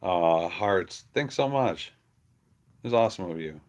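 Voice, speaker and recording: A man's voice: sits low, lively intonation, unhurried. A man chatting casually and affectionately as he hosts a pet livestream. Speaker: pet channel streamer. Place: on a farm.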